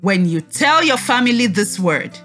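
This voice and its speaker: A woman teaching a read-aloud lesson to children in an expressive, encouraging, deliberate way. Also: medium pitch, faint music behind.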